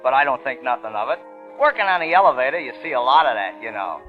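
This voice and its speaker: An actor playing a gossipy, cheeky young elevator operator with slangy chatty talk, in old-time radio drama style. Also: male voice, medium pitch, sing-song, fast, close to an everyday voice. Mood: chatty, knowing. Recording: tinny old radio recording with music.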